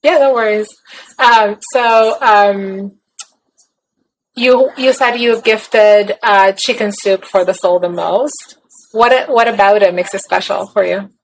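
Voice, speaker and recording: A woman's voice: mid-range voice, a little hiss or hum, low-quality recording. Woman podcast host on a video call, upbeat, faint clicks in the background. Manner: upbeat, quick affirmations. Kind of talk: casual interview over video call.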